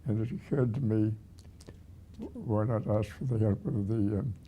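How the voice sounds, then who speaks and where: A man of about a hundred with a husky, aged voice, reminiscing haltingly as a retired museum director. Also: on the low side, expressive, dark tone, a little hiss or hum. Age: 100. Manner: halting, with repeated words and pauses.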